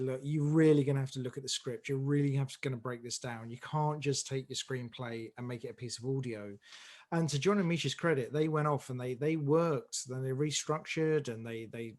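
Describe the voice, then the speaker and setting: Man explaining emphatically. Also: medium pitch. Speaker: audio drama director, interview guest. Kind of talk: casual panel interview, anecdotal explanation.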